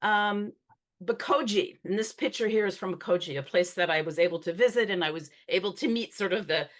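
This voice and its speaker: A woman's voice: mid-range voice, a little fast. A middle-aged woman presenting a talk in a conversational way, with frequent fillers and restarts. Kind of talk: conference talk.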